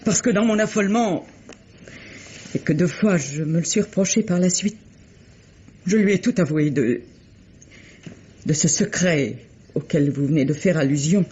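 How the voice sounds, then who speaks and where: A proud society wife in a vintage radio mystery. Her voice is husky and formal, with a tragic, anguished dignity. The sound is dull, like an old broadcast. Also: fairly low pitch, normal speed, pauses often, dark tone. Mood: anguished defiance. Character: dignified, anguished married lady of high society. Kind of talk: old French radio drama acting.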